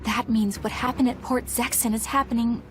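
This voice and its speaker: A woman's voice: a little high, steady tone, moderate pace, slight background noise, natural voice. An actress voicing an earnest, determined young exorcist woman in a dramatic video game, speaking formally and resolutely. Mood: solemn, determined. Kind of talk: dramatic English game voice acting.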